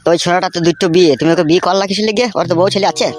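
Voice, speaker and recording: A man's voice: high voice, fairly even intonation, very fast, slight background noise, close to an everyday voice. A man playing a vain, flirtatious party henchman in a comic cartoon, nosy and preachy. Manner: nosy, preachy, smooth-talking. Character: meddling, flirtatious party henchman, vain bachelor of thirty-three. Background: background music.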